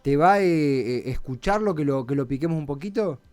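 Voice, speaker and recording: A man's voice: on the high side, expressive, normal speed, darkish tone, dry recording. A man with an Argentine accent hosting a casual radio chat. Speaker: radio host.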